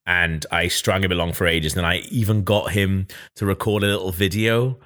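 A man with a slightly low voice hosts a casual podcast. He tells his story loosely, swears freely and puts on mocking voices for the people he quotes. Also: fairly even intonation, moderate pace, fairly bright tone. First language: Welsh. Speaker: podcast host.

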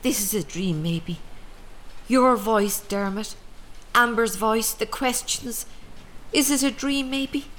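Slow-spoken, sardonic Irish mother. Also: a little high, a bit bright. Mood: dreamy, musing. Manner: musing, repetitive, dry, lilting phrasing. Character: stoic, sardonic Irish mother, kidnapped, dreamily resigned. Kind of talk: radio drama acting.

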